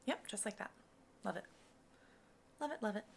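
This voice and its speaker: A soft-spoken woman doing an ASMR cosmetics consultation roleplay, breathy and often slipping into a whisper, explaining each step in a casual, reassuring way. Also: mid-range voice, expressive, moderate pace, slight background noise.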